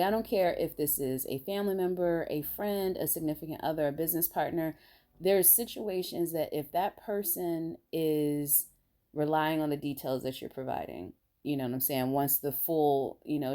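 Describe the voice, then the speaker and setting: Raspy low-voiced woman vlogging. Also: moderate pace, dark tone. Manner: rambling, blunt, emphatic with asides. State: tired. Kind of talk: casual opinion monologue.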